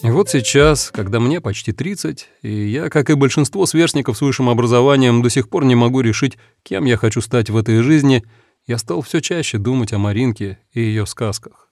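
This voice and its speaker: A man narrating a first-person story in a calm, reflective, measured way. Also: mid-range voice, no echo, faint music behind. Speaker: audiobook narrator.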